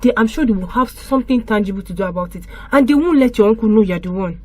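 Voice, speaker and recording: An actress playing a caring young friend who urges and reassures in a radio drama sketch. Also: female voice, mid-range voice, fast, darkish tone, husky, close to an everyday voice. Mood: reassuring. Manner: urgent, reassuring.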